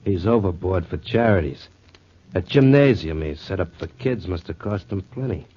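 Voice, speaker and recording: Sly crime boss, low mellow voice, needling, folksy, muffled 1940s radio drama. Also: male voice, animated, moderate pace, slightly rough. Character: genial but scheming peanut-munching racketeer boss.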